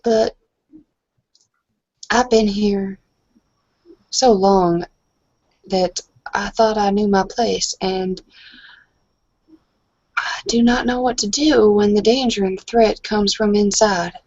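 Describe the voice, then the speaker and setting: A woman roleplaying a tipsy, evasive, troubled young woman in a tabletop game, halting and apologetic. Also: medium pitch, steady tone, a little mellow, no echo, highs cut off. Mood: low, troubled.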